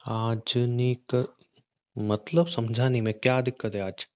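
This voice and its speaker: A man's voice: mid-range voice, flat delivery, unhurried, darkish tone, smooth, close to an everyday voice. A man playing a hot-tempered, suspicious young husband who badgers bluntly with repeated questions in a conversational audio drama. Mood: confused, badgering. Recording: dull, lo-fi call-recording sound.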